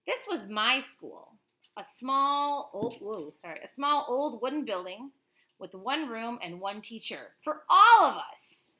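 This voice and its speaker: A woman's voice: fairly high pitch, very animated, measured pace, bright, forceful tone. A woman teacher reading a picture book aloud expressively, with informal explanatory asides to children.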